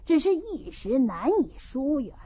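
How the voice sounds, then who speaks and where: A woman as a slow, cautious household steward. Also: high-pitched, very animated, warm tone, slightly rough, full character voice. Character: Lin Zhixiao, cautious, deferential male household steward. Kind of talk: audiobook character reading of a classical novel. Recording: dull lo-fi audio.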